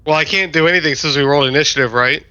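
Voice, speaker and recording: Bright-voiced man in dull voice chat. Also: fairly high pitch, very fast. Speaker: player. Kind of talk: casual game chat.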